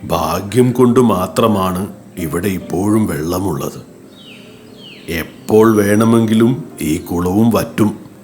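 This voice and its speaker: Man voicing a slow, deep, wise tortoise. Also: darkish tone, faint music behind. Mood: patient warning. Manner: measured, admonishing. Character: wise, patient tortoise giving moral advice. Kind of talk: children's cartoon story voice acting.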